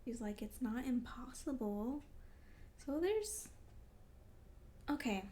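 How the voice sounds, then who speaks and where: A woman's voice: on the high side, sing-song, slow pace, warm tone, slight background noise. A woman doing a casual read-along reaction as a vlogger, talking in an exclamatory, emotionally invested way. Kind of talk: casual reaction commentary while reading.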